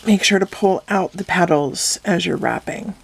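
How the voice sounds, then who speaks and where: A woman explaining a craft step by step in a calm, instructive way, her voice slightly hoarse from a cold. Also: lowish voice, moderate pace. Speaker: craft tutorial host. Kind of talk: step-by-step craft tutorial voice-over.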